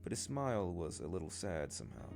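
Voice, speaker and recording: Male amateur narrator for light novel, very low gravelly voice, lively intonation. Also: quick pace. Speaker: amateur audiobook reader. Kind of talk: light novel read-aloud. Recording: soft guitar music behind. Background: plucked guitar music.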